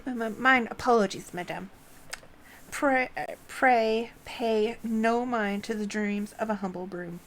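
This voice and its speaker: Woman voicing a loudly declaiming, courtly enchanted broom. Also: on the high side, a little slow, slight background noise, lightly acted. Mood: apologetic, deferential. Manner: formal, archaic, declaiming. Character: humble, courtly enchanted broom serving a lady. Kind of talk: reading fantasy game dialogue aloud.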